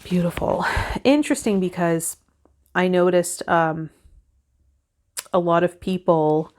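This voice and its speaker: A woman's voice: on the low side, steady tone, takes it slowly, a little mellow. A woman in her thirties talking reflectively and unhurriedly to camera as a tarot video host. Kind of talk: casual reflective vlog talk to camera, partly reading card meanings aloud. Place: indoors, small home filming set with fabric backdrop.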